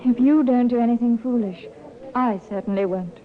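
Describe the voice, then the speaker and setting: An actress playing a composed, scheming young widow in a 1950s radio drama, with halting, theatrical delivery. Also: female voice, a little high, lightly acted. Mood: cool, controlled. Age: young adult. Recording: tinny, hissy 1950s radio recording.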